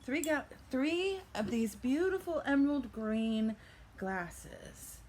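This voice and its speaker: A woman's voice: high voice, big pitch swings, slow, a little mellow. An older woman telling a playful fairy-tale style story with theatrical narration and chatty asides. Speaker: vlogger telling a reselling story.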